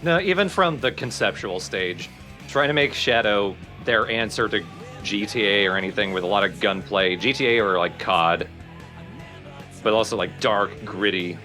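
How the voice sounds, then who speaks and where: A man's voice: fairly low pitch, moderate pace, pushed and bright, soft background music. A man co-hosting a let's play, giving opinions in casual conversational game commentary. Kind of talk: casual gaming commentary chat.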